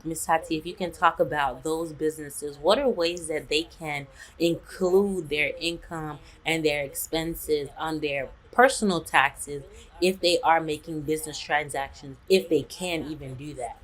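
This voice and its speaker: A woman's voice: low-pitched, fairly even intonation, measured pace, rough recording. A woman hosting a business webinar, introducing her guest with chatty enthusiasm. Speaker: webinar host.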